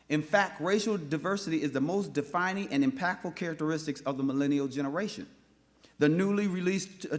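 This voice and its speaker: A man from North Minneapolis giving an impassioned speech at a public forum, often restarting his words. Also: on the high side. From North Minneapolis, USA. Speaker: panel speaker. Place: forum hall with live audience.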